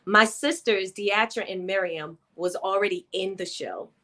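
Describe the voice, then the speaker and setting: A woman's voice: mid-range voice, unhurried, a bit bright. A woman, a guest talking frankly and laughing easily during an interview. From the Bronx, New York. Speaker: guest performer. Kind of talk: casual interview chat.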